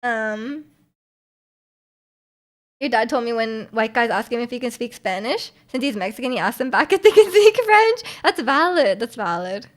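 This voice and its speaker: Woman from Canada, livestream chat, slightly high-pitched, rambling with lots of fillers, laughs easily. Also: animated, normal speed. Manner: chatty, frequent "like" and "um" fillers; laughs often while talking. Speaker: streamer. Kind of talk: casual chat reading viewer messages.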